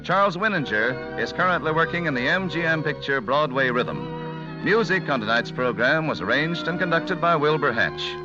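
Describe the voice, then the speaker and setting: A man's voice: a little high, big pitch swings, normal speed. An American man announcing a 1940s radio show in a scripted broadcast style. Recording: dull vintage radio broadcast with music.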